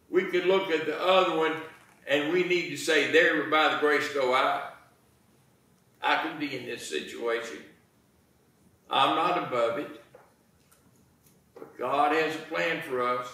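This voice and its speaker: An American man in his sixties preaching a sermon in a folksy, deliberate manner, pausing between phrases. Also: a little high, fairly bright tone. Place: church sanctuary, indoors. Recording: very echoey church, distant microphone.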